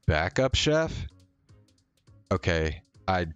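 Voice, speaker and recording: Man, lowish voice, leisurely delivery, visual novel narration, casual streamer asides, faint music. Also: very animated, drawn-out words, clean tone, no echo, muffled recording. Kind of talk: reading a visual novel aloud with casual commentary.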